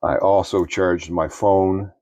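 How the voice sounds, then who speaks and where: Man, low-pitched voice, dry close sound, plainspoken product reviewer, measured explanations. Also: little pitch movement, darkish tone, no echo.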